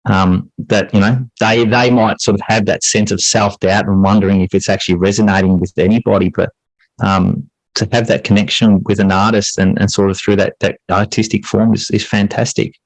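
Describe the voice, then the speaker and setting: A man's voice: lowish voice, level tone, moderate pace, vocal fry, no echo, rough recording. A middle-aged man speaking as an interview guest, earnest and reflective, circling back over phrases as his thoughts form. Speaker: podcast guest, English teacher and writer.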